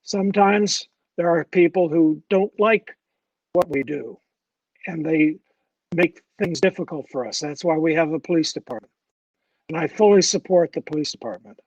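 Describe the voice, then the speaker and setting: An older man and mayoral candidate giving a halting, earnest forum speech, often restarting his words. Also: on the high side, darkish tone, no room sound, recorded from a distance, lo-fi sound. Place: public forum room.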